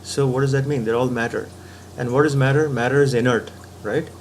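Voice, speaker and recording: A man's voice: mid-range voice, steady tone, fairly quick, a little hiss or hum, rough recording. Man reasoning step by step, patient. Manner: patient, step-by-step reasoning, frequent "right?" checks. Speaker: spiritual teacher. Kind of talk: reasoned philosophical talk to camera.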